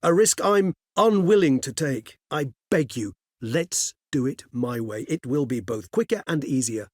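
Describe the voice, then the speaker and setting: An actor playing a courteous, erudite old male vampire in a video game, speaking in eloquent, formal, measured phrases with wry philosophy. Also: mid-range voice, animated, no room sound, clean studio sound. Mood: courteous, explanatory. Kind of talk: video game character dialogue.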